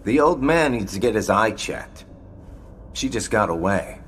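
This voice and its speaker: A man's voice: fairly low pitch, expressive, a little slow, a little hiss or hum. An actor playing a laid-back, wry young man in a video game cutscene.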